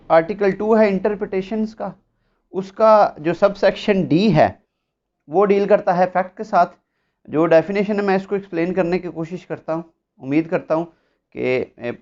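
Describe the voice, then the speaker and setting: A man with a Pakistani accent giving an explanatory law lecture, deliberate, often repeating and rephrasing his points. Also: sits high, darkish tone. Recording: dull lo-fi sound.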